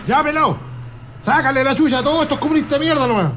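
Man playing fast, high-pitched brutal Chilean sergeant. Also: fairly bright tone, a little hiss or hum. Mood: brutal, shouting. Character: brutal, foul-mouthed army sergeant barking at prisoners. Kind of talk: radio drama acting.

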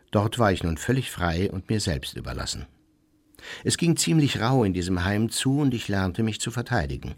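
Deep-voiced male memoirist, formal, proud. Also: darkish tone, dry recording. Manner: formal, measured. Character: self-pitying, proud man recounting his youth. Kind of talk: scripted first-person memoir narration in radio play.

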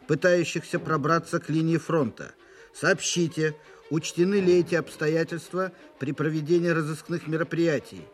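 An actor playing a formal official reading out a military intelligence dispatch in a declarative manner, in a wartime radio drama. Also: male voice, fairly high pitch, lightly acted.

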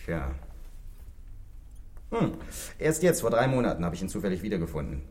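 An actor in a German radio play playing a cocky, streetwise, sardonic condemned criminal. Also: male voice, deep voice, very animated, moderate pace, darkish tone. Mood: wry.

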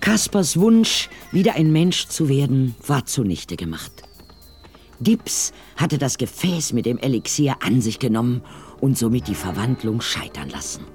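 A man's voice: medium pitch, very animated, unhurried, darkish tone, faint music behind. A man narrating a scripted radio play in a dramatic storytelling style.

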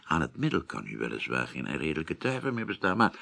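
An actor playing a formal, gracious, eloquent senior official with a gravelly voice in a radio drama. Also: male voice, very deep voice, huge pitch swings, fast talker, bright, forceful tone, exaggerated voice. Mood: formal, engaged.

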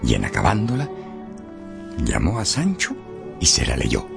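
A man narrating a radio drama as a wry, expressive storyteller. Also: a bass voice, measured pace, creaky, full character voice. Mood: wry storytelling. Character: wry, leisurely male storyteller. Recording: studio radio drama with background music.

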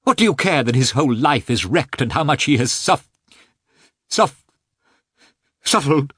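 A man reading an audiobook, voicing a cold, offended aristocratic husband in a squeaky, raised voice with coldly emphatic speech. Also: animated, normal speed, fairly bright tone, husky, no room sound, clean studio sound, full character voice. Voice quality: squeaky, high-strung. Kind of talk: audiobook character voice.

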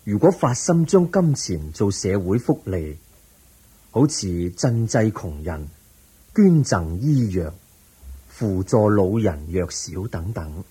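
A man acting a calm, patient, wise teacher in a radio drama, measured and gently instructive, with a Hong Kong Cantonese accent. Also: on the low side, slightly rough, heavily acted, low-quality recording.

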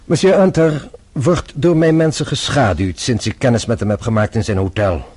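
An actor playing a shrewd French police inspector in a radio drama, formal, measured and politely probing. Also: male voice, mid-range voice, a little mellow, breathy edge, close to an everyday voice. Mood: dry, measured.

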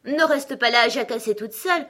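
A woman's voice: on the high side, brisk, pushed and bright, pitched above the performer's own voice. A woman voicing a pompous, irritable male talking egg in a storybook, with a haughty, emphatic delivery. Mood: irritated, disdainful. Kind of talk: storybook character acting.

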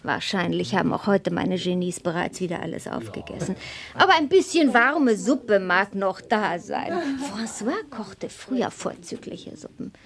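A woman playing a witty, gossipy high-society hostess in a radio play, with breezy, theatrical aristocratic chatter. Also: animated, normal speed, gravelly. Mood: airy, amused.

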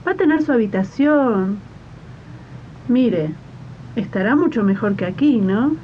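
A woman's voice: animated, a little slow. A woman playing a persuasive, well-off city employer who coaxes and reassures in a 1980s radio drama. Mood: coaxing. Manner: coaxing, reassuring. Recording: muffled noisy archive radio tape, rumble.

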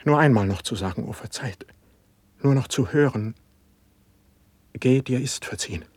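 An actor playing an anguished, distrustful poet on the edge of madness, declaiming classical verse in a radio play. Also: male voice, medium pitch, moderate pace, a little mellow, slightly rough, no room sound. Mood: despairing. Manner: declamatory, lamenting, impassioned.

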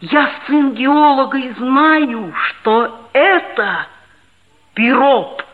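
An actor playing an earnest, self-assured schoolboy, a geologist's son, who narrates and talks in a measured way in a 1960s radio play. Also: high voice, animated, narrow, tinny audio, heavily acted. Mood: earnest, storytelling. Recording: band-limited 1960s radio play recording.

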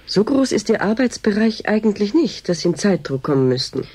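An actress playing a weary but stern middle-aged doctor who leads a station in a German radio drama, with a husky, mellow voice. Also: female voice, medium pitch, sing-song, brisk.

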